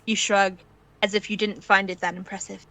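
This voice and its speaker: A woman's voice: medium pitch, little pitch movement, moderate pace, bright, forceful tone, low-quality recording. A woman reading scripted second-person fan fiction aloud as the narrator.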